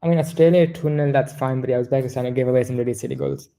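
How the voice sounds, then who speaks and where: A man's voice: medium pitch, fairly even intonation, racing, gravelly, mellow. A man co-hosting a casual football discussion, rambling and often correcting himself mid-sentence. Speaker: football podcast co-host.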